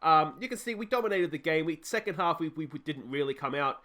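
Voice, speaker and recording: Croaky Australian man, higher voice. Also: quick pace. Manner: chatty, reacts excitedly to match highlights. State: slightly croaky from a cold. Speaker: gaming channel host. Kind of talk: casual let's play commentary.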